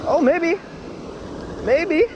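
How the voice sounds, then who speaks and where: A man fishing from a beach and talking to an action camera, chatty and self-mocking, with bursts of excitement whenever he thinks a fish is on. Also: extremely high pitch, extremely slow, muffled recording. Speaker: fishing vlogger. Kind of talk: self-deprecating casual vlog narration. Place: beach surf, outdoors. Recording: windy outdoor beach audio with surf noise. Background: wind and crashing waves.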